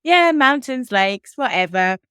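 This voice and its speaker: A woman in her thirties with an English accent talks candidly on a podcast, laughing now and then. Her voice is smooth and clean. The sound is dry and close. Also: high voice, expressive, unhurried, fairly bright tone, no echo. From Switzerland. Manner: chatty, candid, laughs often. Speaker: podcast co-host. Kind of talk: casual chat.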